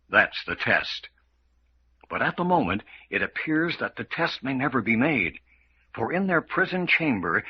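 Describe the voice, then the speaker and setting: A man's voice: medium pitch, slightly rough. A man narrating an old-time radio drama as announcer in a formal, dramatic style. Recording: muffled, noisy radio recording.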